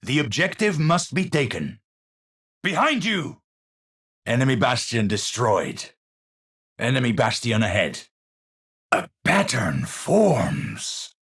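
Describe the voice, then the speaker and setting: An actor playing a cerebral, haunted, middle-aged scientist in a video game, speaking formally and precisely with theatrical, pensive phrasing. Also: male voice, medium pitch, big pitch swings, slow pace, pauses often, fairly bright tone, husky, exaggerated voice. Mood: measured, intent. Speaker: video game hero character. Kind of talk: scripted game voice lines, theatrical.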